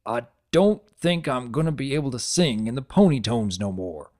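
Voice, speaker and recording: A woman voicing a shy, slow-talking farm stallion in a story reading, speaking in a halting, folksy way. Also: rumbling low, animated, a little mellow. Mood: hesitant. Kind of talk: dramatic audiobook reading.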